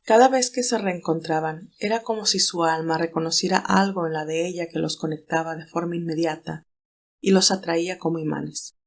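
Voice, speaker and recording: A woman's voice: fairly low pitch, vocal fry. A woman reading scripted, dramatic narration of a romantic story as its narrator.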